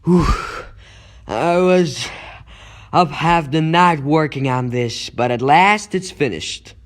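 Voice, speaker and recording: A man voicing an earnest high-school boy in a comic book dub, with a boyish, sheepish manner. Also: slow pace. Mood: private musing. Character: earnest, youthful male high-school student.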